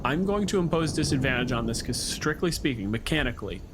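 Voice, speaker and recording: A man running a tabletop game as game master, making rulings and narrating scenes in deliberate, descriptive second person. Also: medium pitch, lively intonation, background noise. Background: music behind the voice.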